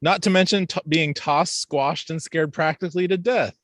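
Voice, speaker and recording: A man's voice: fairly high pitch, moderate pace, fairly bright tone, no echo, studio quality, pitched above the performer's own voice, close to an everyday voice. A man voicing an indignant, complaining young boy from a fantasy film. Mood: exasperated. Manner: exasperated, complaining.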